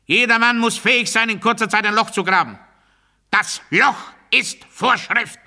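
Sharp-voiced, obsessive doctrinaire. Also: male voice, high voice, full character voice. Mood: strident proclamation. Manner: deliberate, declamatory, swinging from hushed confiding to strident proclamation. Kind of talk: literary radio play monologue.

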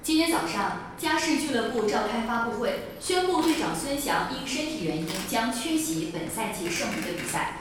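Far off and echoing over clear noise, an actress voices a formal female newsreader. Her delivery is crisp announcing with lively pitch. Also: normal speed, few pauses, close to an everyday voice. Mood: formal, neutral. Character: formal female news anchor reading esports report. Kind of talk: news broadcast within audio drama. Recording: distant, very echoey, clearly noisy broadcast.

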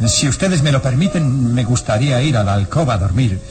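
An actor playing a nervous, haggard guest in a radio drama, hesitant, jittery and pleading. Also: male voice, mid-range voice, animated, brisk, over music, close to an everyday voice.

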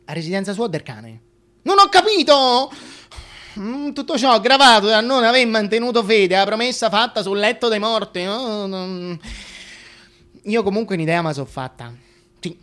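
A man's voice: high-pitched, lively intonation, normal speed, sharp tone. An actor with a Roman accent playing a gossipy, indignant working-class supporter of the king in a comic stage monologue, colloquial and exclamatory. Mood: confiding, knowing.

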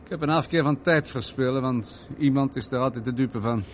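An actor as a ruthless male tycoon in a seventies radio play, with a medium-pitched voice. He is domineering and used to command, taunting his captive with false geniality and cool menace. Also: fairly quick, a little hiss or hum, lightly acted. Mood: smug amusement. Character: ruthless, rich businessman, domineering and mockingly genial. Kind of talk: 1970s Dutch radio drama acting.

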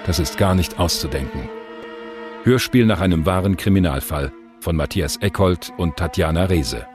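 A man's voice: deep voice, moderate pace, over music. A man announcing titles and credits for a radio play in a scripted style.

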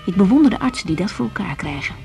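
An actress playing an earnest, weary young doctor in a radio drama, speaking in short reflective phrases. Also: female voice, mid-range voice, big pitch swings, racing, darkish tone, faint music behind. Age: young adult. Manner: reflective, intimate, clipped phrases. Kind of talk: radio drama acting with diary-like monologue.

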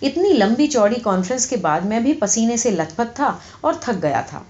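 A woman's voice: fairly high pitch, big pitch swings, a little mellow. A woman reading a satirical short story as scripted audiobook narration. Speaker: audiobook reader. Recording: slightly dull, lo-fi audio.

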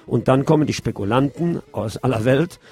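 A middle-aged man hosting a radio show, reading news commentary in a measured, opinionated way. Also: medium pitch, animated, darkish tone, husky. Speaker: radio host.

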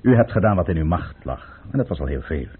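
An actor playing a scheming, controlled count and royal adviser with a measured, formal manner in a radio play. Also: male voice, low-pitched, very animated, husky, full character voice. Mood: sombre. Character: scheming, controlled male count advising the tsar, secretly tormented. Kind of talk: Dutch radio play acting. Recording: tinny, muffled old radio play recording.

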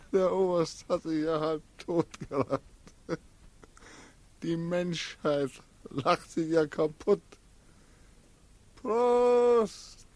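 An actor playing an embittered, anguished young returned soldier in a 1940s German radio drama, declaiming bitterly. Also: male voice, sits high, a little mellow. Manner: anguished declamation, dragging out words.

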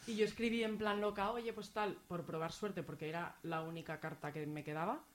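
A woman's voice: lowish voice, a little fast. A woman from La Mancha with a Manchegan Spanish accent, telling a personal story in casual radio chat, emotional and rambling. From La Mancha, Spain.

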